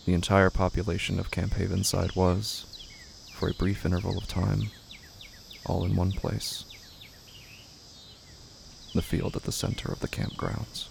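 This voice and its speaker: Deep, gravelly man narrating horror drama. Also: flat delivery, slight background noise. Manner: deliberate, dramatic phrasing with pauses.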